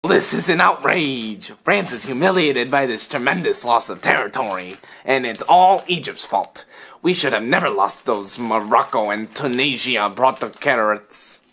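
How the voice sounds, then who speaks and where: A man voicing an indignant, blustering French military leader with a gravelly, forceful voice in an amateur puppet show, on a noisy recording. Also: mid-range voice, expressive, measured pace, full character voice. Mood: outraged.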